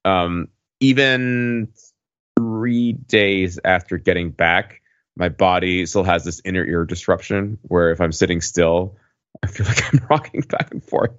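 Man, low pitch, halting delivery, casual podcast chat, dry, slightly dull recording. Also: fairly even intonation, dry recording. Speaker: podcast panelist. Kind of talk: casual conversational storytelling.

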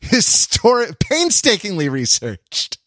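A man hosting a podcast in a casual conversational style, thinking aloud in a halting, rambling and reflective way. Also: high-pitched, wildly swooping pitch, fairly bright tone, no echo. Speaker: podcast host.

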